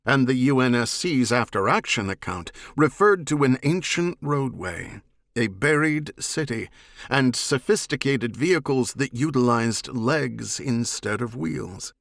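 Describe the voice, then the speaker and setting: Man as a cool, measured alien enforcer. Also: medium pitch, animated, vocal fry, fairly bright tone, no room sound, studio quality. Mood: explanatory. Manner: measured, formal, unhurried. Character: cool, evasive alien oath-bound bounty hunter, quietly defiant. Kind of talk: audiobook character voice, science fiction.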